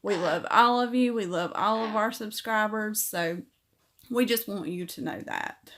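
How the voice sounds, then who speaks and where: A woman's voice: mid-range voice, a little slow, a bit bright. A middle-aged woman, chatting casually on a livestream as she reads viewer comments aloud and answers each one.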